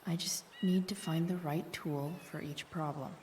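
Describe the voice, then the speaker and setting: A woman's voice: sits low, steady tone, moderate pace, a little mellow, slight background noise, natural voice. A woman with an American accent voicing a headstrong, irritable teenage girl in a video game, terse and slangy. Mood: focused muttering. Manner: muttering to herself, terse, slangy. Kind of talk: naturalistic game cutscene acting.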